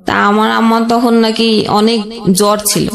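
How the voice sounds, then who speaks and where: A Bangladeshi woman recounts her own experiences in a higher-pitched voice. She speaks plainly and haltingly, often rephrasing as she goes. The sound is roomy, with clear echo. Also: level tone. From Jashore region, Bangladesh. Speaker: guest telling her life story. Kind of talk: personal storytelling in conversation.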